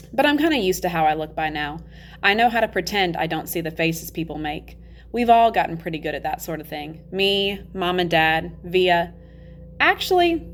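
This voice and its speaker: A woman's voice: on the low side, a little hiss or hum, lightly acted. Woman reading as a candid ten-year-old boy. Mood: matter-of-fact, wistful. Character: ten-year-old boy narrator, candid, self-aware, matter-of-fact. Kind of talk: children's novel read-aloud.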